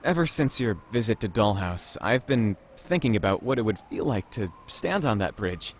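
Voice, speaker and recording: A man's voice: lowish voice, very animated, normal speed, a little hiss or hum, voice pushed down. A man voicing an earnest, wistful male android companion in a visual novel, with music behind him. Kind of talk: visual novel character reading.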